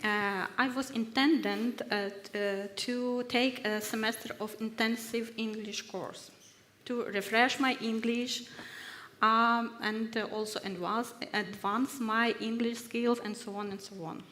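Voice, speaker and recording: A fairly high-voiced woman speaks haltingly, filling pauses as she searches for words. She is a research fellow on a workshop panel. Her voice rings around a large, echoey hall with a long reverb tail and a faint hum behind it. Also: fairly even intonation, a bit bright. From Ukraine. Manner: hesitant, halting, many fillers. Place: university ceremonial hall.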